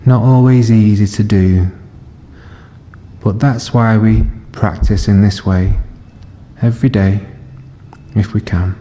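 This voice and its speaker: A man leading a guided body scan meditation as a teacher, speaking gently and unhurriedly in short phrases with long pauses. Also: fairly low pitch, fairly even intonation, a little hiss or hum. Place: live session in a reverberant room. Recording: long reverb of a hall.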